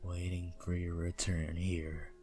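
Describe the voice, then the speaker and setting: A man playing a possessive, seductive vampire prince in a teasing, intimate ASMR roleplay with quiet menace. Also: low voice, completely flat delivery, takes it slowly, soft background music. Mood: patient, menacing.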